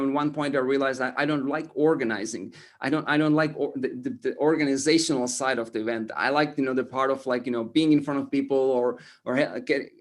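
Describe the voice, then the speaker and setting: A man with an Estonian accent, speaking English as a second language, talking as an interview guest, halting with many restarts. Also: mid-range voice. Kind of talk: casual interview talk.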